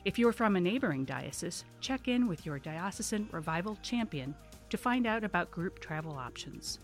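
Female announcer for a scripted promo, slightly low voice, faint music underneath. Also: lively intonation, moderate pace.

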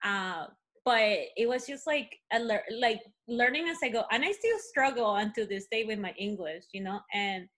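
A woman's voice: fairly high pitch, moderate pace. A woman with a Spanish accent, a native Spanish speaker, chatting as a podcast guest and telling stories with many fillers. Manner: animated storytelling, many fillers. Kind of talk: casual conversation.

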